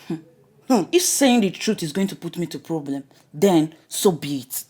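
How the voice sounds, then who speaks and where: A woman's voice: on the low side, expressive, moderate pace, mellow, slightly rough. An actress playing an outspoken palace woman, blunt and defiant.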